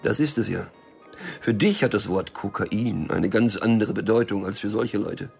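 An actor playing a stubborn, wary small-time drug dealer and loner in a radio crime drama, curt, dry and cynical. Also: male voice, fairly low pitch, normal speed, creaky, darkish tone, faint music behind. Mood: matter-of-fact, cynical.

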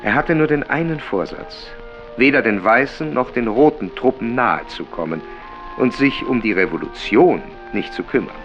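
A man reading literary prose in a radio play, in a measured, descriptive way. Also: mid-range voice, lively intonation, highs cut off, lightly acted. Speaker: reader of reportage and novel prose. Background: music.

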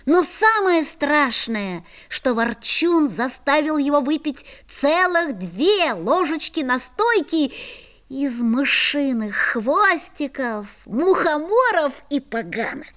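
A woman narrating a children's fairy-tale audio play in an expressive storybook style. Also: sits high, slow pace.

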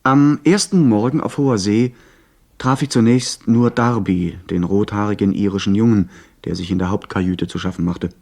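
A young gentleman recounts his seafaring adventure in a fairly low voice. His radio-drama narration is composed, literary and clearly scripted. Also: fairly even intonation, normal speed.

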